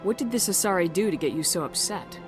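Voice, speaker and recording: Woman playing a quick, low-voiced determined commander. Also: lively intonation, over music, lightly acted. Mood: concerned, inquiring. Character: determined, composed young female military commander. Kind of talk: video game dialogue.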